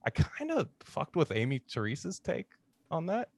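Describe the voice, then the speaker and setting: Slangy man with swooping, animated pitch. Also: moderate pace, no room sound. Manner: slangy, profane. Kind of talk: casual podcast chat.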